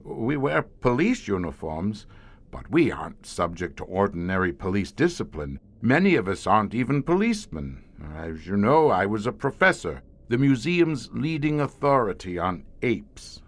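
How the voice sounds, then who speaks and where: An actor playing a weary, grim professor who commands a secret police squad, speaking formally and hesitantly in an audio horror drama. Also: male voice, on the low side, sing-song. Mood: uneasy, guarded. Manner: measured, hesitant, formal, darkly matter-of-fact.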